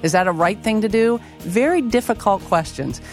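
A woman hosting a talk radio show, with clear, steady presenter delivery in a studio. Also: mid-range voice, normal speed, faint music behind. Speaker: radio host. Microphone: studio microphone.

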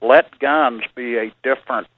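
A man joins a radio talk show by phone, speaking in a measured way with many fillers. His voice sounds thin and phone-filtered. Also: mid-range voice. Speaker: church security guest. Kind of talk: radio interview. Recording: phone line.

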